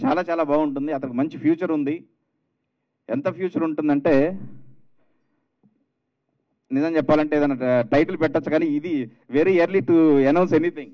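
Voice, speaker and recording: A man giving an informal, earnest speech at an event, halting and repeating phrases in a dull recording. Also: fairly high pitch. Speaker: guest speaker at a film launch.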